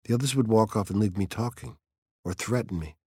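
A man's voice: on the low side, a little fast, warm tone, breathy edge, no room sound, studio quality. A man voicing a peculiar, dreamy teenage girl of nearly seventeen, whimsical and earnest in her chatter.

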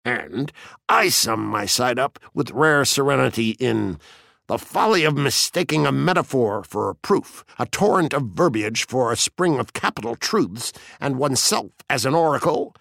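A man's voice: medium pitch, expressive, unhurried, vocal fry, fairly bright tone, dry recording, studio quality, pitched above the performer's own voice, full character voice. A man reading an audiobook as a grandiloquent fire chief, needling and mock-jovial, with a sly, gleeful, declamatory delivery. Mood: theatrical, gleeful. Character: Beatty, taunting, grandiloquent male fire chief, slyly gleeful bully. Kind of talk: audiobook character acting.

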